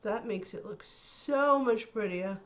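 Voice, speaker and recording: A woman's voice: medium pitch, slow pace, fairly bright tone, slight background noise. A woman chatting casually through a makeup tutorial, thinking aloud and admiring the colors, her voice a little nasal from a cold. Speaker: beauty vlogger.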